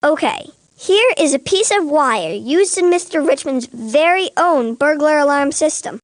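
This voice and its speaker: A woman's voice: sits high, animated, a little slow, no room sound. A woman voicing an earnest young boy who works as a lawyer's assistant in a cartoon, asking eager, methodical questions. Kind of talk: animated educational courtroom dialogue.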